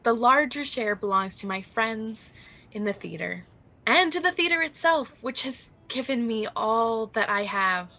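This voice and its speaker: An actress playing a celebrated young stage star in a radio drama, poised and falsely humble, speaking in measured, formal tones. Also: female voice, on the high side, expressive, sharp tone, lo-fi sound, full character voice. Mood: gracious, grand. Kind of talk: theatrical radio drama acting.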